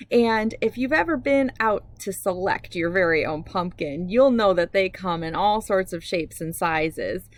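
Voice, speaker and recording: A woman's voice: mid-range voice, no room sound. A woman hosting a children's read-aloud, narrating expressively and speaking directly to young listeners. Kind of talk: animated storybook narration for children.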